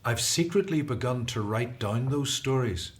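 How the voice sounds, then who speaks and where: A man plays a runaway Hebrew prince in a radio drama. He speaks with devout earnestness and respect. His pitch is average for a man. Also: lively intonation, normal speed, lightly acted. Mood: earnest, solemn. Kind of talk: scripted radio drama acting.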